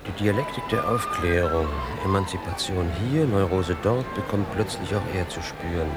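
A man's voice: deep voice, moderate pace, lightly acted. An actor in a radio play playing an earnest man who reads learned commentary aloud to a companion. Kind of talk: read-aloud within radio play dialogue. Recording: loud music behind the voice.

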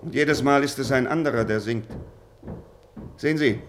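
An actor in a German radio play as a dutiful, haunted drill officer, shifting between barked commands and brooding, confiding talk. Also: male voice, mid-range voice, moderate pace, slight background noise, lightly acted. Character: barking drill officer, weary, haunted, dutiful, grieving father.

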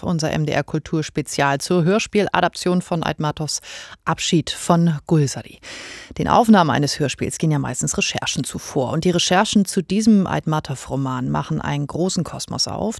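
A man's voice: a little high, gravelly, dry recording. A man presenting a radio culture programme in scripted narration, speaking in a studio.

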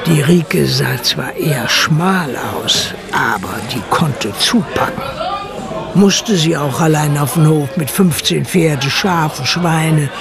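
An actor narrating a radio play as a matter-of-fact, gossipy villager with a dry, colloquial manner. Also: male voice, fairly high pitch, takes it slowly, gravelly, music in the background. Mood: wry.

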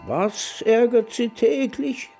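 A man's voice: very high-pitched, slow, creaky, dark tone, faint music behind, full character voice. An older man acting a grumbling, teasing, hard-of-hearing man in his nineties in a radio play. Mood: confused, irritated.

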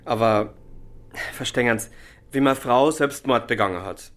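A man acting an evasive young suspect in a German radio crime drama, deferential, halting and guarded as he answers. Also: mid-range voice, fairly bright tone, close to an everyday voice. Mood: uneasy explaining.

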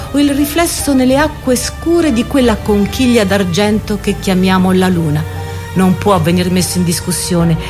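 A woman's voice: mid-range voice, close to an everyday voice. A woman playing a worldly aesthete who urges youth and pleasure, in a declamatory, persuasive literary reading. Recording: loud music behind the voice.

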